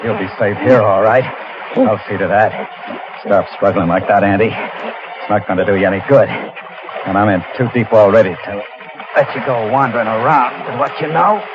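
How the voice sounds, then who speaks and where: A man's voice: lowish voice, moderate pace, natural voice. An actor playing a guilty, ruthless ship's crewman in a radio thriller, guarded and coldly menacing. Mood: cold menace. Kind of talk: vintage radio thriller acting. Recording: muffled, noisy old radio drama recording.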